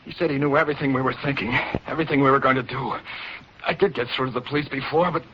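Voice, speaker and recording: A man's voice: medium pitch, fairly even intonation, racing, close to an everyday voice. Actor as urgent trapped man, old muffled radio. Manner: clipped, urgent, colloquial. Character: trapped young man, urgent, tense, resolute. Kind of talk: 1940s radio drama acting. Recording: muffled hissy 1940s radio recording.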